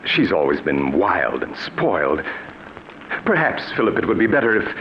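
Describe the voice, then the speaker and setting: An American scheming, insistent brother-in-law in a 1940s radio drama. His mid-pitched voice is urgent and very animated, on a muffled, faintly hissy old recording. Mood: concerned. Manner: urgent, earnest, halting when agitated. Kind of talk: 1940s radio melodrama acting.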